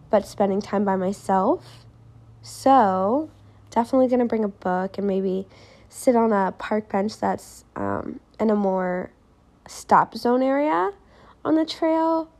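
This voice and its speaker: A young woman hosting her own podcast, talking casually and reflectively, her voice a little raspier than normal. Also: medium pitch, darkish tone. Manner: chatty and reflective, quoting her own thoughts aloud. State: voice more raspy than normal. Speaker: podcast host. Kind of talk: casual solo podcast talk.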